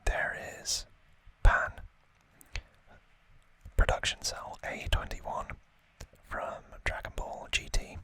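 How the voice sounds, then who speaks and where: A man hosting ASMR in a breathy, unvoiced whisper, rambling hesitantly and a little self-deprecatingly as he chats. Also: takes it slowly, pauses often. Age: late 20s or older. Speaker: ASMR channel host. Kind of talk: whispered ASMR unboxing chat.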